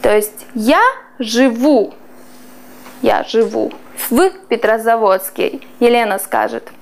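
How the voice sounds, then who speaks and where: A woman in her thirties teaching a language class in a small classroom, slowly and clearly drawing out foreign words. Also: on the high side, darkish tone, slight background noise. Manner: slow, clearly drawn-out pronunciation of foreign words.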